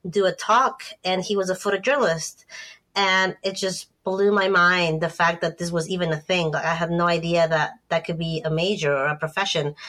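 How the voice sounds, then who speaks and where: Interview guest: woman, 30s, from Ecuador, relaxed rambling talk, lower pitch. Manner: chatty, lots of fillers and restarts. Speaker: guest photographer.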